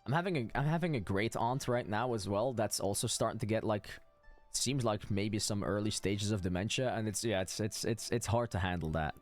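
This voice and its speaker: A man's voice: on the low side, brisk. A man streaming a game with casual commentary and chat, often stammering and repeating words. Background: game music.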